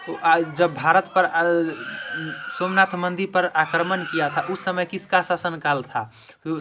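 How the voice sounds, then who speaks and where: A man teaches exam questions and answers in a bright, forceful voice. His pitch sits a little high, and he repeats key points emphatically. There is some noise in the recording behind him. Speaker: exam-prep teacher and video channel host. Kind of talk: quiz lesson, explaining question and answer. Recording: clear background noise.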